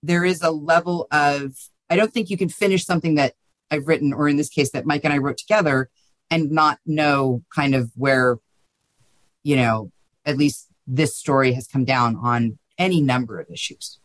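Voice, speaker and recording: A woman's voice: low-pitched, fairly even intonation, moderate pace, dry recording. A woman answering interview questions as a guest writer, thoughtful and informal, often checking in with the listener. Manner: thoughtful, informal, peppered with you know and right.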